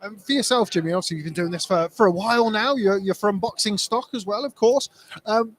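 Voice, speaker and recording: A man co-hosting a boxing livestream, reading out viewer questions in a quick, loose way with plenty of fillers. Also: high voice. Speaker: co-host of a boxing news livestream.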